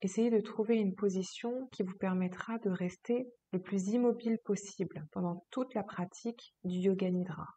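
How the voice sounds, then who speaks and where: A woman yoga teacher leading a guided relaxation meditation, speaking slowly and calmly in a soft, husky voice with long pauses. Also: mid-range voice. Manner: slow, calm, soothing instruction with long pauses.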